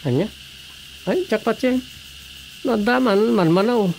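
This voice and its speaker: Gravelly ilocano village councilor, radio drama comedy, bit of noise behind. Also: mid-range voice, unhurried, a little mellow. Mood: opinionated. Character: village councilor discussing health and bad habits. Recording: noisy radio drama track.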